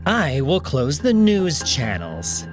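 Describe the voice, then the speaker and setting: A man's voice: a little high, huge pitch swings, slow pace, over music, exaggerated voice. A man voicing a proud young male wolf emperor who is defensive and commanding. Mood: shaken. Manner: indignant, commanding outbursts.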